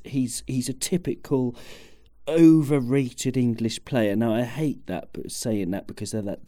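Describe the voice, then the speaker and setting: Mellow-voiced chatty male host. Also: mid-range voice. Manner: chatty, rambling with fillers. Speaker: podcast host. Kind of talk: casual football chat.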